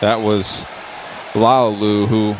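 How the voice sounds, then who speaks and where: American male analyst, on the low side, live football color commentary with light background noise. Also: little pitch movement, very slow.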